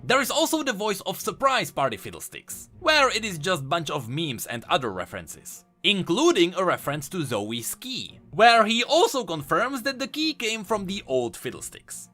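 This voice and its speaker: Man, game lore host, high bright voice, scripted narration, faint music behind. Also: wildly swooping pitch, moderate pace, few pauses.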